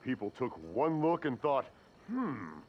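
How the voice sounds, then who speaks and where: A man's voice: mid-range voice, sing-song, a little slow, muffled recording, background noise. A man voicing a genial old father in a video game, a fireworks master who rambles through his stories. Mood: reminiscing. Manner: rambling, storytelling. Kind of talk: animated video game voice acting. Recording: music behind the voice.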